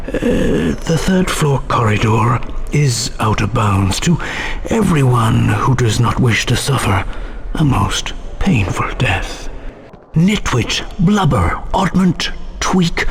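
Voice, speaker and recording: An actor voicing an elderly, kindly, eccentric wizard headmaster in a video game, with a measured, whimsical manner. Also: male voice, medium pitch, slight background noise.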